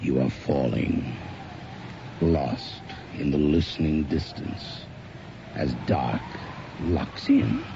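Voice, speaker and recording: A brooding horror host from old radio, drawing each phrase out slowly. The voice sits extremely deep, with a heavy gravelly rasp. Music plays under a dull vintage broadcast. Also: male voice, background noise, exaggerated voice. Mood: ominous. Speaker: series host narrator. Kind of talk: ominous radio drama narration.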